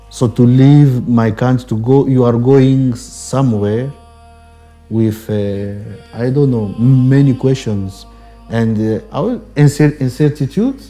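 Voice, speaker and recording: A man's voice: medium pitch, warm tone. A young man with a Congolese accent giving a reflective interview, hesitant and searching for words, often repeating phrases. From Democratic Republic of the Congo. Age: young adult. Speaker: Benedictine monastic brother, interviewee. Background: background music.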